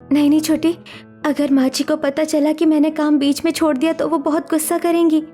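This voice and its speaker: A woman performs a meek young village bride in a family cartoon. Her high voice is submissive and pleading as she answers her elders. There is light music behind it. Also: little pitch movement, a little fast, mellow, lightly acted. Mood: timid, submissive. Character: meek, dutiful young village daughter-in-law. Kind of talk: Hindi family cartoon story acting.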